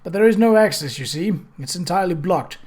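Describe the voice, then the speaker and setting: A man's voice: high-pitched, animated, quick pace, a little mellow. A man voicing a nonchalant, jocular and mischievous male writer in an audiobook. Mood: matter-of-fact. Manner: breezy, playful. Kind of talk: audiobook character voice.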